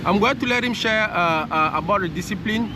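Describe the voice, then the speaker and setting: A man's voice: high voice, fairly quick. A man hosting a casual vlog interview, rephrasing his questions as he goes. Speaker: host and interviewer. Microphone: handheld camera held by him. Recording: loud outdoor traffic noise.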